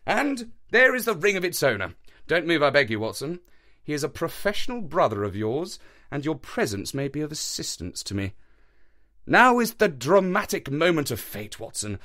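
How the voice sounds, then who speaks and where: A man voicing a brisk, self-assured gentleman detective, speaking in an emphatic, theatrical way with a high, lively, swooping delivery. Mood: excited. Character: brisk, eager gentleman detective, sharp and sure.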